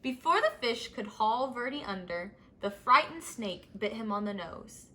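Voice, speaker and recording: A woman reading a children's story aloud as scripted, expressive narration. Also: fairly high pitch, measured pace. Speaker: storybook reader.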